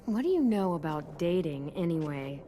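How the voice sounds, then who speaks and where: Low-voiced, guarded teenage survivor girl. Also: big pitch swings, normal speed, warm tone. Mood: teasing. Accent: American. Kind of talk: naturalistic video game voice acting. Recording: music behind the voice.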